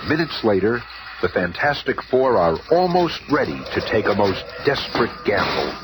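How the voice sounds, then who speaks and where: An actor playing a dramatic narrator of a 1970s superhero radio serial. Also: male voice, fairly low pitch, big pitch swings, moderate pace, music in the background. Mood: suspenseful. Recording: very noisy old radio recording.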